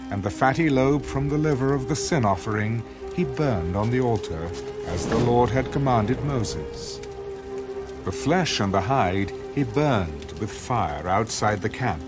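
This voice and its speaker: A man narrating scripture as a scripted dramatized reading, in a measured, solemn manner. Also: mid-range voice. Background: music under the voice.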